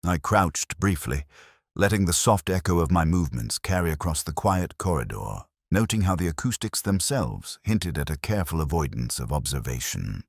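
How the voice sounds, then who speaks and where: A man narrating a detective story in the first person, in a measured, formal and reflective way. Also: sits low, sing-song, gravelly, no room sound, studio quality.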